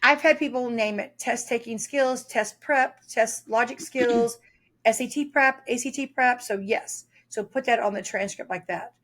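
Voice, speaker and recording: A woman's voice: fairly high pitch, moderate pace, few pauses, fairly bright tone. A woman guest on a podcast, an author explaining test preparation in a talkative way, telling stories with frequent fillers. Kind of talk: podcast interview.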